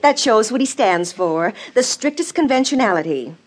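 An actress playing a gossipy, conformist friend who chides in an old radio drama style. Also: female voice, fairly high pitch, animated, normal speed. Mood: knowing, chiding. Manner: chiding, gossipy. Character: gossipy, conformist female friend in a futuristic society. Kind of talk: 1950s radio drama acting.

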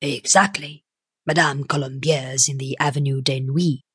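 Woman voicing a sleek, unctuous businessman, low and husky. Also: steady tone, a bit bright, no echo, studio quality, exaggerated voice. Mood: smooth. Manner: unctuous, measured, formal. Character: sleek, shifty, smooth-talking prosperous businessman. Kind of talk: audiobook character voice.

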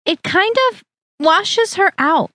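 A woman voicing a snobbish middle-aged future mother-in-law who talks in a prim, condescending, drawn-out way. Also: very high voice, lively intonation, sharp tone, no room sound, studio quality, voice pushed up, exaggerated voice. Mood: disapproving.

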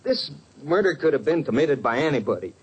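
An actor playing a defensive, touchy small-town constable, plain-spoken and rural, in an old radio western. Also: male voice, a little high, sing-song, a little fast, breathy edge, exaggerated voice. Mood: uneasy. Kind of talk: 1950s radio western acting. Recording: noisy old radio recording.

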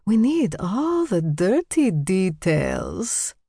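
A woman's voice: fairly low pitch, very animated, slow pace, darkish tone, dry recording, studio quality, heavily acted. A woman voicing a wealthy Russian socialite in her fifties, cooing and prying, in an audiobook. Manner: cooing, gushing, domineering.

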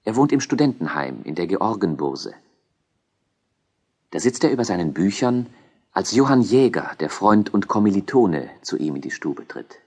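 Low, husky, expressive male narrator. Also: moderate pace. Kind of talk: scripted documentary narration.

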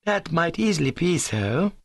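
A man plays a haughty young woman from a comic storybook, pitched well up with swooping intonation. She is prim and earnest, scornful of anyone without soul or ambition. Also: big pitch swings, normal speed, creaky, no echo, studio quality, exaggerated voice. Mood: lofty, scornful. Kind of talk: comic short-story reading.

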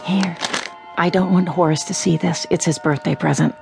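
A woman's voice: lowish voice, fairly even intonation, moderate pace, vocal fry, over loud music. An actress playing a practical, chatty, weary wife in a radio drama, a little dramatic in her delivery.

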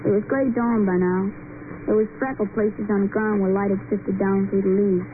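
Radio drama role: performer as a wary, stubborn country boy, homespun and plain, heard through a thin, clearly noisy old recording. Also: mid-range voice, fairly even intonation, moderate pace, phone-quality sound, close to an everyday voice. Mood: matter-of-fact narrating. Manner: plain, folksy, unschooled speech. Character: plain-spoken backwoods boy, young, wary but stubborn. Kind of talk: old-time radio drama acting and first-person narration.

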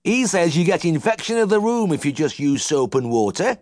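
A man's voice: a little high, big pitch swings, moderate pace, a little mellow, no echo, studio quality, pitched above the performer's own voice. A man voicing a smug little old male farmer with a nasal West Riding Yorkshire twang, forever praising another vet. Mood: needling boastful.

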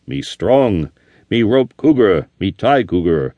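Man reading a big good-natured old buffalo hunter, earnest, slow and bouncy. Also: on the low side, big pitch swings, no room sound, dull audio, heavily acted. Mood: earnest boasting. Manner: earnest, broken pidgin talk.

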